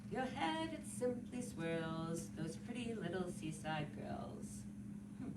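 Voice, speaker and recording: A woman giving a dramatic literary reading of a musing, wry, morbidly curious man at a funeral, in drawn-out short phrases. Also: on the low side, very animated. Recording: echoey, distant, very noisy room.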